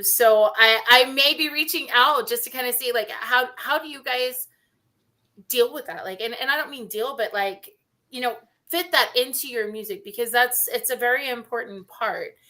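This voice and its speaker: Bright, playful woman co-host. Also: fairly high pitch, normal speed. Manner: playful, teasing, talkative. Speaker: radio co-host and singer. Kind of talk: casual radio chat.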